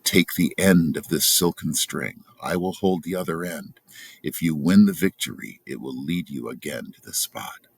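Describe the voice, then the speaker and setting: A gentle young storybook princess, voiced by a man with a very deep, creaky voice. The delivery is hushed and guiding. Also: animated, normal speed, full character voice.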